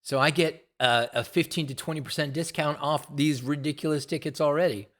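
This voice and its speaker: A man's voice: fairly high pitch, fairly even intonation, brisk, fairly bright tone. A man in his sixties talks to viewers in a loose, rambling monologue, repeating phrases and swearing casually. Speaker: vlogger.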